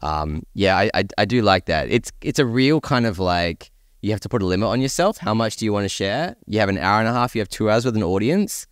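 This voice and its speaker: A man's voice: on the low side, animated, a little fast, clean tone, no room sound, clean studio sound. A man co-hosting a podcast, musing thoughtfully in casual panel chat. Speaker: podcast co-host.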